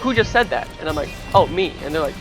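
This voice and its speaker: A young woman with a deep voice streaming on a headset, rambling and swearing casually with lots of filler. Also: animated, quick pace, rough recording. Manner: rambling, restarts, heavy "like" filler, swears freely. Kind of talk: casual livestream chat. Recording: music playing under the voice.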